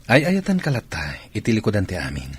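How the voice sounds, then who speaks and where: Man doing husky ilocano army commander, lowish, lively pitch. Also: fast talker, heavily acted. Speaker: soldier husband and father. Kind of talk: radio drama acting.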